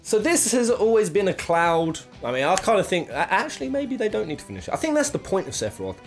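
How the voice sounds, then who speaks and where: A man's voice: high voice, sing-song, fast talker, soft background music. A British man giving casual, jokey top-ten video game commentary, rambling and thinking aloud as he goes. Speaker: video game countdown host.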